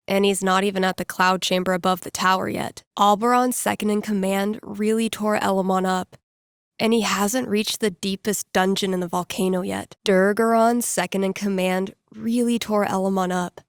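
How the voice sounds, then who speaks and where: A woman's voice: mid-range voice, dry recording, studio quality, close to an everyday voice. An actress playing a wry, slangy young woman who DJs and runs tabletop games, in a video game. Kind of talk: video game character inner monologue.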